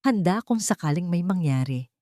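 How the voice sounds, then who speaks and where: A woman's voice: on the low side, animated, normal speed, warm tone, no room sound, studio quality. A woman reading a scripted first-person story in a dramatic narration style.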